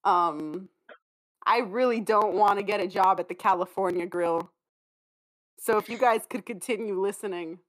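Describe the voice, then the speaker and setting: A woman's voice: medium pitch, moderate pace, fairly bright tone, poor audio. A young woman co-hosting a podcast, chatting casually with a dry, self-deprecating, joking manner. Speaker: podcast co-creator and host. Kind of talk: casual banter and thank-you message.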